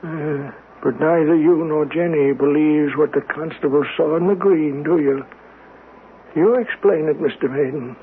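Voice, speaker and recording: An actor playing a frail, dying old uncle haunted by lost love, speaking haltingly and repeating himself in a radio drama. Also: male voice, a little high, natural voice. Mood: wistful. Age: 70s or older. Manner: halting, repeats words in agitation. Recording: muffled, noisy old radio broadcast.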